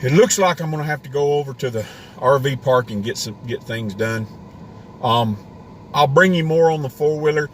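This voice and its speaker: A man's voice: mid-range voice, moderate pace, slight background noise. A man hosting a homesteading live stream from inside a parked car, rambling in a casual rant with a worn voice. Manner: ranting, rambling with restarts. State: voice getting worn.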